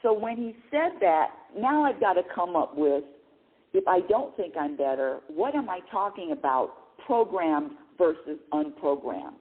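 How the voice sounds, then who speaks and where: Animated middle-aged woman on a phone line. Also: fairly low pitch, measured pace. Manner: animated, repeats and restarts words, laughs often. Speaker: radio show host. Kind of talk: spiritual talk, monologue.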